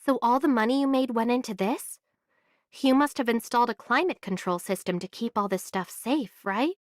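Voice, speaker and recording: A woman voicing a calm, curious young adventurer in an anime video game, speaking in a measured, sincere way. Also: a little high, no room sound, studio quality, close to an everyday voice. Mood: thoughtful.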